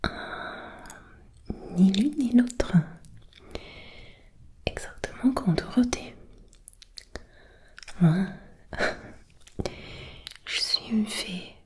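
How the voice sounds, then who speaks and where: A woman whispering as a kindly, curious good fairy in an ASMR fantasy roleplay, gentle and breathy, with halting, unhurried phrases. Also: medium pitch. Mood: curious, welcoming.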